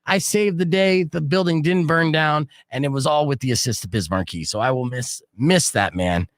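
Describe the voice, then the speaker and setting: A man's voice: normal speed, no echo, clean studio sound. A man hosting a radio show, telling stories and bantering casually with his co-hosts. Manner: animated storyteller, jumps loud and high when excited. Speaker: radio DJ and host. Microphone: studio microphone.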